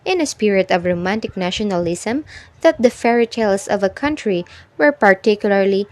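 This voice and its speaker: A woman's voice: mid-range voice, animated, brisk, a little mellow. A woman reading a scripted educational narration aloud in a formal manner. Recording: noticeable background noise.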